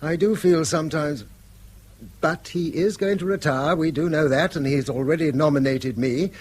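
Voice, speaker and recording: An English schoolmaster in a radio play, middle-aged and proud despite thin means. The voice is husky and a touch high for a man, rising and falling freely as he coaxes earnestly. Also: normal speed. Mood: resigned, hopeful. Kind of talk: British radio drama acting.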